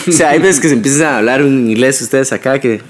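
A young man chatting as a podcast guest and telling a story in an animated way, with frequent restarts and repeated words. His speaking pitch sits right in the middle for a man. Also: fast. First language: Spanish. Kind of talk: casual chat.

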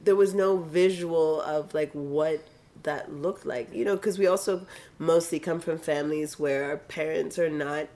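A woman's voice: deep voice, fairly even intonation, unhurried, few pauses, warm tone. A woman from Kenya, around forty, a film director being interviewed, explaining things casually with examples while sounding congested. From Kenya, of Tanzanian family. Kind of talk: casual reflective interview talk.

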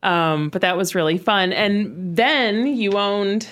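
A woman's voice: mid-range voice, slow, fairly bright tone. A woman in her forties hosts a local business show. She chats loosely with her guest, with fillers and easy laughs. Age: 40s. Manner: chatty, laughs often, fillers. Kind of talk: casual interview chat.

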